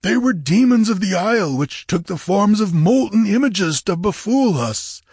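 A man as a desperate, high-voiced corsair. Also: animated, moderate pace, a little mellow, slightly rough, dry recording, studio quality, full character voice. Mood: fearful. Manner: urgent, clamoring. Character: weary, superstitious corsair, desperate and wary. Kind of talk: dramatic fantasy audiobook character.

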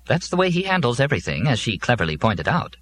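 A man's voice: mid-range voice, sing-song, fast talker, fairly bright tone, slight background noise, pitched above the performer's own voice. A man voicing a dour, scornful robot servant in a science fiction audiobook, making formal, ominous pronouncements. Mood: flat, warning.

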